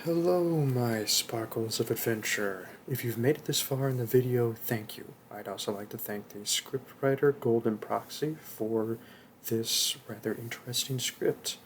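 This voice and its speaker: A man speaks softly and casually to his listeners as the performer of an audio roleplay. His manner is encouraging, his pitch sits in the middle, and there is faint noise behind him. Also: unhurried. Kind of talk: casual outro addressed to listeners.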